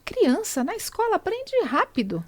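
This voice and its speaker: A middle-aged Brazilian woman speaking Brazilian Portuguese as a podcast guest, explaining things deliberately and stressing key words. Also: very high voice, wildly swooping pitch, no echo, clean studio sound. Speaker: podcast guest, consular service provider. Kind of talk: conversational interview, explaining.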